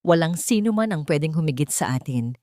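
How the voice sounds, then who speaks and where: A woman's voice: deep voice, lively intonation, a little fast, darkish tone, no room sound. Woman playing a rich villainess, scornful, commanding, sneering, dry recording, audio drama. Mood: scheming.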